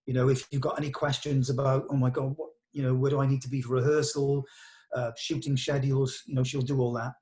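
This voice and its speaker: A man's voice: medium pitch, level tone, fast, darkish tone. A man talking informally in a production meeting, explaining things in a hesitant, thinking-aloud way.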